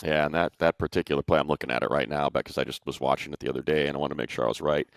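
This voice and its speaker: Male sports panelist, very deep register, dry close sound, informal emphatic analysis. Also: steady tone, rapid-fire, creaky, no echo. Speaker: sports show panelist and play-by-play announcer.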